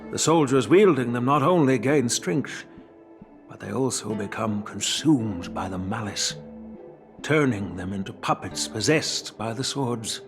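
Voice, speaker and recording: An old master swordsmith. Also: male voice, mid-range voice, expressive, music in the background. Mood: grave. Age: older. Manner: measured, grave storytelling. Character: wise elderly male master swordsmith, grandfather. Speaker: game character. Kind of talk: video game cutscene voice acting.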